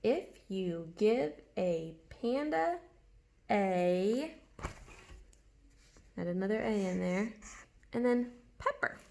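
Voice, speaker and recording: A woman teacher speaks to young children in a gravelly, medium-pitched voice. She guides them through writing with simple questions and letter-by-letter spelling. Some noise sits behind her voice. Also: lively intonation, takes it slowly, long pauses, a little mellow. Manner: instructional, guiding, asks questions and spells words out. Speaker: early elementary teacher. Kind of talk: read-along writing lesson for young children.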